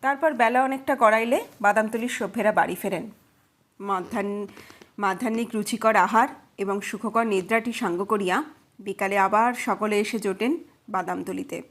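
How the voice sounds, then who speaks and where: A woman's voice: mid-range voice, normal speed. A woman reading a literary detective story aloud as scripted audiobook narration.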